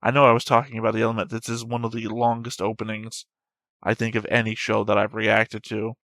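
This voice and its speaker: A man's voice: on the low side, little pitch movement, fast talker, fairly bright tone, no room sound. Rambling man reacting casually, close dry sound. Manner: rambling, thinking aloud. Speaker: host of an anime reaction channel.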